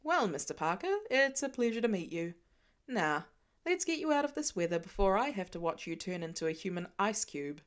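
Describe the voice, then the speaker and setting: A woman's voice: mid-range voice, sing-song, brisk, close to an everyday voice. A woman voicing a confident, wisecracking grown man who talks in a dry, teasing way. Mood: wry. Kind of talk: audiobook fan reading.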